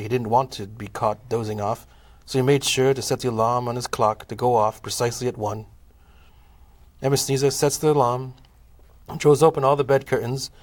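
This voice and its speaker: A man narrating a scripted comic audio play in a measured, formal storytelling manner. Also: medium pitch.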